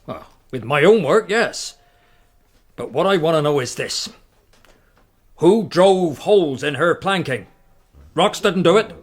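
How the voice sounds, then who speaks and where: An actor playing an aggrieved, plain-spoken boat builder in a radio drama, earnest, defensive and deliberate. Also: male voice, a little high, expressive, husky. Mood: aggrieved, insistent.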